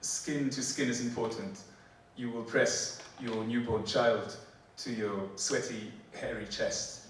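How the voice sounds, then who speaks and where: Polish-accented male poet in his forties, unhurried stage reading, captured from across an echoing theatre. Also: mid-range voice, background noise. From Poland. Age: 40s. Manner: slow, deliberate poetry delivery; halting, joking banter with many fillers. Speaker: performance poet. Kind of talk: spoken word poetry and stage banter. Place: theatre stage before a live audience. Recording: echoey hall, mic from a distance. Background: audience laughter.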